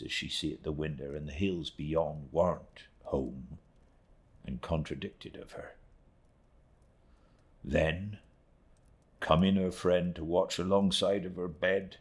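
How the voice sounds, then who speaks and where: An old fisherman, voiced by a man reading an audiobook, grieving and devoted. He speaks low and very slowly, in halting, heartfelt that moves between hushed and swelling. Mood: tender, hushed. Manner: slow, emotional, halting storytelling with swelling and hushed moments. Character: grieving, devoted, rustic old English fisherman recounting his niece's ordeal.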